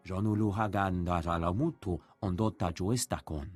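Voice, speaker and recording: Shady alien dealer, very deep, rough husky voice, video game creature dialogue. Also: male voice, moderate pace, no echo, soft background music. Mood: shady dealing. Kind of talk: video game voice acting in invented alien tongue.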